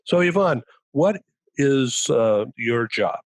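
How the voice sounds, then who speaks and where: A man hosting a talk show panel over a video call, introducing guests briskly with fillers. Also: mid-range voice, expressive, no echo.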